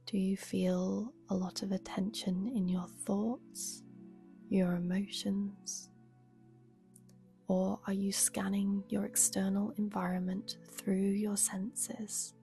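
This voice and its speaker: A woman leads a guided meditation in a soft, breathy voice with some gravelly fry at the edges. Her pace is very slow and her pitch barely moves, giving a soothing, steady delivery. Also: faint music behind. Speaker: yoga nidra teacher, meditation guide.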